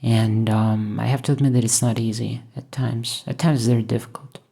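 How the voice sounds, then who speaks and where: A woman from Russia, thoughtfully talking over her painting process in a hesitant, musing way with many pauses. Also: rumbling low, steady tone, vocal fry, warm tone, husky. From Moscow, Russia. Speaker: painter and channel host. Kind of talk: reflective voice-over while painting.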